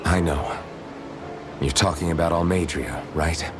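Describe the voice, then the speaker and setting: Deep-voiced, gentle young hero. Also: male voice, background noise. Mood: reassuring, serious. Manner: gentle, measured, reassuring. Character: calm, kind young male hero, supportive leader. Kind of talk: English video game voice acting. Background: background music.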